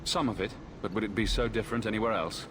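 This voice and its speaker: Man playing a low-voiced, frank human adventurer. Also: fast, background noise, close to an everyday voice. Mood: challenging. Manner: direct, questioning. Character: adult male human adventurer, frank and challenging. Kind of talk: fantasy video game dialogue acting. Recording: game audio with clear background ambience.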